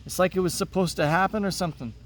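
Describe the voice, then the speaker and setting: A man's voice: sits high, very fast, recorded from a distance, voice pushed up, close to an everyday voice. Man reading as a curious, earnest boy. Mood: wondering. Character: Marco, curious, reserved boy new in town. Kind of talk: read-aloud of a children's play. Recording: noticeable background noise.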